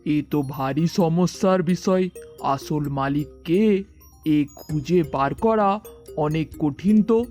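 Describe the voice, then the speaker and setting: A man's voice: a little high, takes it slowly, a little mellow, soft background music. A man playing a puzzled onlooker in a cartoon folk tale, musing in a perplexed way. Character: puzzled onlooker pondering a difficult dispute.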